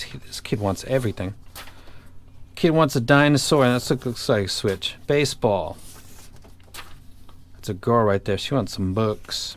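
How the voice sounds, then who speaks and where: A man's voice: medium pitch, a little hiss or hum. Blunt man giving casual gear reviews. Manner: blunt, opinionated, colloquial. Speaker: YouTube audio gear reviewer. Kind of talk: casual unscripted review talk.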